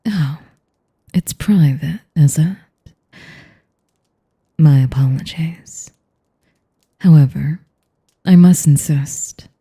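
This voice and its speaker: A woman playing an elegant, manipulative vampire in an intimate audio roleplay, with a whispery voice and a slow, teasing, mock-polite manner. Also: sits low, dark tone, exaggerated voice. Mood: coolly teasing. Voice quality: breathy, whispery. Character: sadistic, elegant, manipulative female vampire noble.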